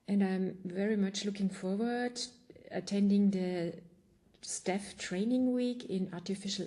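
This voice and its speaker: Woman, low warm voice, very slow and careful self-intro. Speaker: university administrative assistant.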